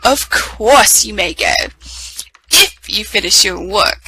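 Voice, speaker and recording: A woman voicing a cold, mocking stepmother from a storybook, sneering in slow, drawn-out phrases. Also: mid-range voice, animated, pushed and bright, slight background noise, poor audio.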